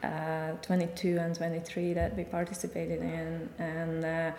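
A woman's voice: sits low, completely flat delivery, a little slow, darkish tone, a little hiss or hum. A woman from Hungary with a Hungarian accent, answering interview questions about her research with cheerful small laughs and restarts. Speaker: guest scientist. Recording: echoey conference room.

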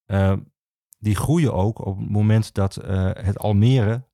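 A man explaining history as an interview guest, speaking haltingly with many filled pauses. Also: lowish voice, creaky, dark tone, no room sound, studio quality. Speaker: archivist, podcast guest.

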